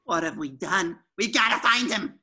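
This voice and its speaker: A woman voicing an excitable, naive young male cartoon sea sponge in an eager, theatrical way at a table read. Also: medium pitch, normal speed, slightly rough, full character voice. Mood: alarmed, determined.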